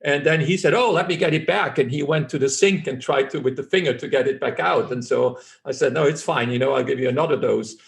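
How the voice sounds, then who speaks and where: A man's voice: medium pitch, fast talker, vocal fry, few pauses. A middle-aged man whose first language is German, speaking English as a physician guest in an interview, self-assured and earnest, often restarting phrases.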